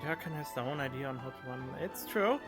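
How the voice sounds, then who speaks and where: A woman's voice: a bass voice, animated, fast talker. A woman doing casual gameplay commentary as a streamer, reacting to game dialogue. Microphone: studio condenser microphone. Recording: game music behind the voice.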